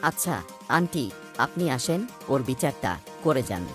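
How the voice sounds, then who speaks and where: A woman as a low-voiced, questioning cartoon boy. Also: expressive, measured pace, faint music behind. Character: inquisitive young boy, sceptical friend in a cartoon. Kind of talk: Bengali cartoon dialogue.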